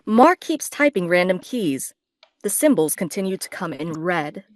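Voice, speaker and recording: A woman's voice: on the low side, sing-song, measured pace, no room sound. A woman narrating scripted stage directions at a table read.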